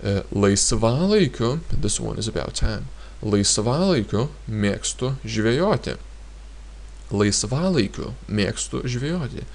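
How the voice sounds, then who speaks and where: A man teaching a grammar lesson in a hesitant way, pausing often and repeating each example sentence. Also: lowish voice, expressive, creaky, darkish tone. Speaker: language teacher.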